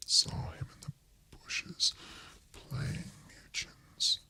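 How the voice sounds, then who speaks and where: A man voicing a scandalised, scolding small-town gossip woman in a breathy whisper, in a radio play reading. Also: extremely slow, pushed and bright, full character voice. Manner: tutting, disapproving.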